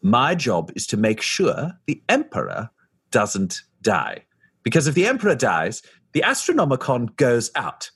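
A man with a husky voice role-playing in a tabletop game, animated and sardonic. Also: mid-range voice, measured pace. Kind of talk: in-character role-play.

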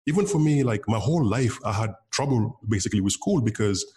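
A man's voice: on the low side, animated, quick pace, darkish tone. A man from Senegal whose first language is Wolof, a guest on an interview, talking casually with many fillers and restarts. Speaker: interview guest, bitcoin developer.

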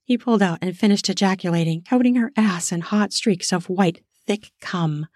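A woman narrating an erotic story in an unhurried, intimate reading. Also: medium pitch, darkish tone, no echo, studio quality.

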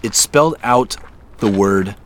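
An actor playing an impulsive man chasing a mystery, speaking slowly and laughing often in an audio drama. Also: on the low side, a little hiss or hum, close to an everyday voice. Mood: amused. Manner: slow, amused, laughs often.

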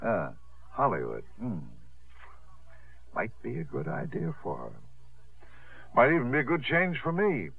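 An actor playing a gentle, patient suitor who narrates his own story in a 1950s radio drama, with a measured, wry manner. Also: medium pitch, wildly swooping pitch, creaky, husky, full character voice. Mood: wry, thoughtful. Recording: tinny 1950s radio recording with music.

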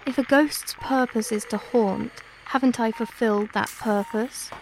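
A woman's voice: a little high, creaky, background noise. A woman playing an anguished, guilt-ridden ghost, speaking solemn, formal monologue in an audio drama. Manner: solemn, deliberate, old-fashioned literary phrasing.

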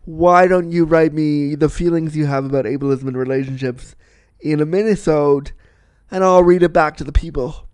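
A man's voice: on the high side, normal speed, a little mellow, clean tone, no room sound. A man hosting a podcast, chatting casually and rambling, sniffly with a cold. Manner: chatty, rambling, repeats himself. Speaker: podcast host. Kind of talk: casual solo podcast chat.

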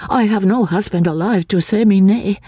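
A woman reader performs a fragile young woman from a classic audiobook, anxious and easily confused. The voice is high, soft and breathy, with hesitant repeats and small stammers, recorded very dry. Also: normal speed, no room sound, lightly acted. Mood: earnest. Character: timid, confused young woman, asylum escapee, childlike and anxious. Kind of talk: dramatic audiobook reading of classic literature.

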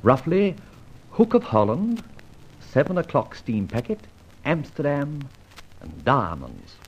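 An actor playing a suave, flippant gentleman adventurer in an old-time radio serial, with breezy old-fashioned slang. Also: medium pitch, big pitch swings, takes it slowly, breathy edge, exaggerated voice. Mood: confident, scheming. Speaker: narrator and lead actor. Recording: muffled vintage radio recording, faint noise.

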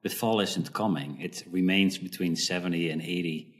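A man with a Dutch accent answers interview questions in a fairly low voice. He speaks haltingly, starting over and filling pauses with uhs. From Netherlands. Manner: hesitant, many uhs and restarts. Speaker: podcast guest, dream expert. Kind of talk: casual interview talk.

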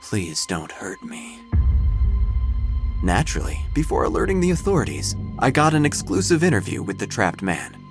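An actor playing a theatrical, cheerfully morbid small-town obituary narrator in a comic audio drama, arch and droll in delivery. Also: male voice, mid-range voice, sing-song, moderate pace, vocal fry. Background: music under the voice.

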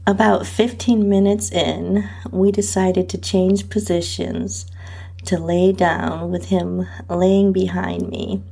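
Female narrator for erotic audio fiction: lower register, husky, slow delivery. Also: level tone, warm tone. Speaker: podcast host and narrator. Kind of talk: first-person erotic audio story narration.

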